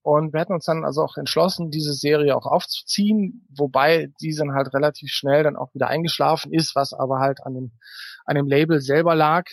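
A man's voice: a little high, fairly even intonation, a little fast, gravelly, no room sound. A man answering questions conversationally as an author being interviewed. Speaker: author interview guest, writer of novels and audio dramas.

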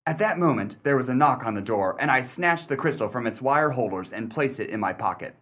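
An actor as a sly, animated gentleman storyteller. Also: mid-range voice. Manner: deliberate, emphatic storytelling with dry wit. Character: shrewd, sly, sardonic gentleman narrator of an Edwardian mystery. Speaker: actor and narrator in a radio play. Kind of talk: theatrical first-person mystery radio narration.

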